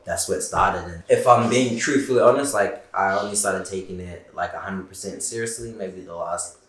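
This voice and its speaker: A man's voice: low-pitched, normal speed, fairly bright tone, reverberant, rough recording. A young man from Zimbabwe, a rapper, chatting in a casual interview in a laid-back way with lots of "like" fillers. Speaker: rapper guest. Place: living-room studio set with couches.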